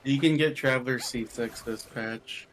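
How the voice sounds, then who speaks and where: A young man in casual gaming chat with a friend, talking in an offhand, halting way. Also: mid-range voice.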